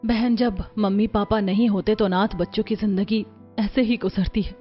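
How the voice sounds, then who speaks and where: A woman's voice: mid-range voice, fairly even intonation, normal speed, pitched below the performer's own voice, close to an everyday voice. A woman as a subdued orphan elder sister. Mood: resigned. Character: gentle, resigned orphan older sister, young woman. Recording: muffled audio with music behind.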